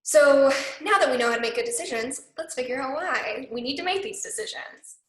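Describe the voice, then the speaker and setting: A woman's voice: sits high, animated, fairly quick, echoey room. A young woman and arts program director who talks casually with many fillers. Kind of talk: casual online talk.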